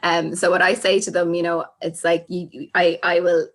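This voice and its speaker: A woman's voice: lowish voice, flat delivery, moderate pace, a bit bright. A woman, a language teacher, chatting on a panel with plenty of you-knows. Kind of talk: casual panel discussion.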